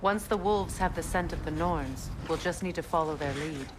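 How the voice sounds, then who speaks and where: A mature goddess played by a woman with a fairly low voice, wise and composed. Her delivery is measured and earnest game drama, over clearly audible ambient noise. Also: lightly acted. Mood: calm, matter-of-fact. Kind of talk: video game drama acting.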